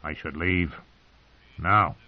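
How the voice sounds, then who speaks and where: A man voicing a weary dwarf nobleman who speaks quietly with dry, sardonic wit. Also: fairly low pitch, animated, moderate pace, gravelly, slightly rough, highs cut off, heavily acted. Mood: grim.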